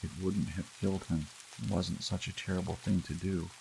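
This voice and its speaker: A man's voice: low voice, flat delivery, moderate pace, gravelly, a little mellow, slight background noise, pitched above the performer's own voice, rough recording. A man voicing a loving, protective wife in a storybook, tender but insistent. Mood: soothing, conspiratorial.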